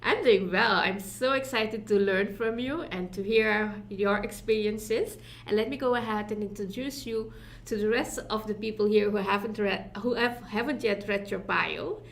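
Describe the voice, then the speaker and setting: A woman's voice: mid-range voice, normal speed. Woman hosting with a Dutch accent. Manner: warm, effusive introductions with many fillers. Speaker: summit host. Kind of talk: online event moderating.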